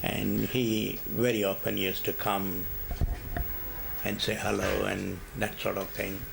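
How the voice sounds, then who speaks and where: An older retired man, born in India, answering oral history questions in a halting way with many pauses and fillers. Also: fairly low pitch, little pitch movement, vocal fry, background noise. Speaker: interviewee.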